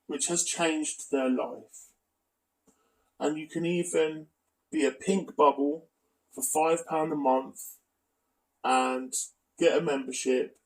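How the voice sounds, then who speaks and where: Higher-pitched, creaky man, distant mic. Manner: critical, accusatory. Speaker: video commentator. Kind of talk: scripted exposé narration with asides.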